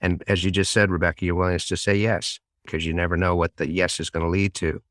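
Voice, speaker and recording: A man's voice: low-pitched, flat delivery, fast, fairly bright tone, no room sound, studio quality. A man hosting a podcast interview, summing up his guests' points in an encouraging way. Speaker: podcast host. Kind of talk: interview talk.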